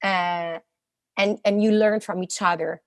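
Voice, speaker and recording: A woman's voice: lowish voice, fairly even intonation, unhurried, no room sound. A woman in her thirties with an Italian accent, a physicist answering interview questions casually, hesitant and full of fillers and restarts. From Italy. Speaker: guest, postdoctoral physicist.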